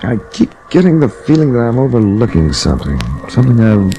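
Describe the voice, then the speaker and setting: A man's voice: medium pitch, big pitch swings, fairly quick, mellow, faint music behind, rough recording. An actor playing a dry, sardonic male insurance investigator who narrates a 1940s radio drama. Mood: wry. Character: wry hard-boiled male insurance investigator. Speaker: detective narrator. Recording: restored old radio broadcast.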